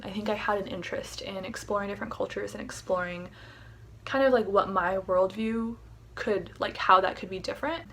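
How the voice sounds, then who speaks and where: A woman's voice: medium pitch, a bit bright. A young woman from Michigan, a recent graduate, talking reflectively about her choices with frequent fillers. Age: young adult. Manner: reflective, thoughtful, uses fillers. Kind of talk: casual remote interview.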